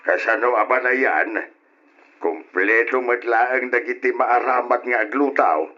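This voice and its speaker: An actor playing a cheerful young man in an old Ilocano radio drama, animated and full of exclamations. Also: mid-range voice, measured pace, soft background music. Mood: happy, joking. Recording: dull lo-fi old radio recording.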